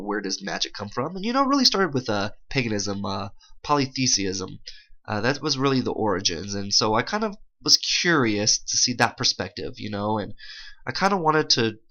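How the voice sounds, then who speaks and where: A middle-aged man doing a casual, halting interview voice-over with informal, slangy phrasing, as if interpreting live. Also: lowish voice, sharp tone, dull audio.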